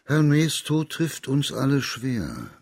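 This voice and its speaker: An actor playing a dignified, courteous high judge of old China, questioning in a formal, husky voice in a radio drama. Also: male voice, wildly swooping pitch, takes it slowly, darkish tone, no echo, exaggerated voice. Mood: grave, probing. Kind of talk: German radio drama acting.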